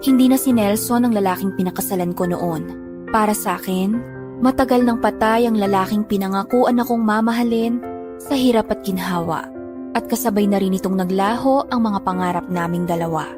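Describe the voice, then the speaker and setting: A woman's voice: medium pitch, a little fast, music in the background, lightly acted. A woman playing a long-suffering mother who narrates her hard life in an earnest, sorrowful, scripted dramatic style. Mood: sorrowful, resolute.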